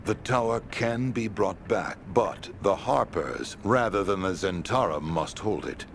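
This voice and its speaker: A man playing a secretive, earnest member of a noble order in a video game, explaining gravely and deliberately. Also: on the low side. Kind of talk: video game exposition dialogue. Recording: noisy game capture.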